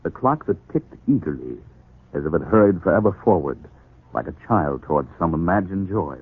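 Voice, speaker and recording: A man announcing and narrating in formal, deliberate 1940s radio style. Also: deep voice, very animated, slight background noise. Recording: tinny, echoey 1940s radio recording.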